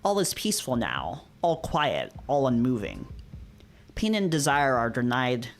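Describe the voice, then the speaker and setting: A man playing a brooding, dazed visual novel narrator in a solemn, measured dramatic reading, with some background noise. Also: medium pitch, huge pitch swings. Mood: solemn, grave. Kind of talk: dramatic literary read-aloud narration.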